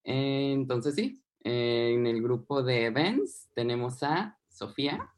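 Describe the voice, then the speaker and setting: A man's voice: medium pitch, animated, slow. A young man representing a student club on a radio show, speaking hesitantly with many fillers as he introduces teammates. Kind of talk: casual radio chat.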